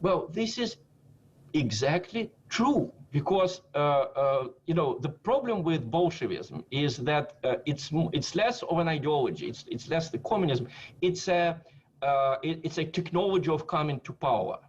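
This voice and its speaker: High-voiced man on a dull connection. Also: very animated, normal speed, slight background noise. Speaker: panel guest commentator. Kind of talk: political panel discussion. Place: remote call for a quarantine show.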